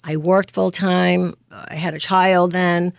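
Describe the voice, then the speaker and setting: A woman in her fifties from Greece, a nurse practitioner telling her story as an interview guest, earnest and quick to laugh. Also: lowish voice, flat delivery, creaky, smooth, no echo, studio quality. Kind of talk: casual interview.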